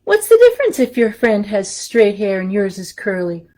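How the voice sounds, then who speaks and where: A woman's voice: mid-range voice, big pitch swings, normal speed, dark tone. A woman hosting a story time for young children, reading aloud and chatting casually in simple, encouraging language.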